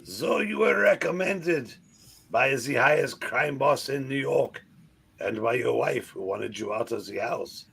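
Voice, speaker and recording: A man's voice: medium pitch, lively intonation, a little slow, creaky, fairly bright tone, a little hiss or hum. A man voicing a pompous, scheming French master thief with a mock French accent, in hammy comic-book villain style. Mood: suspicious menace. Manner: melodramatic, grandiose, swinging from menace to exclamation.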